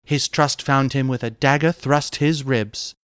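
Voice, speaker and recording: Young fantasy noblewoman, performed by a male reader: soft, smooth, formal and archaic, in a dry recording. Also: mid-range voice, steady tone, unhurried, no room sound, close to an everyday voice. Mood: composed, confiding.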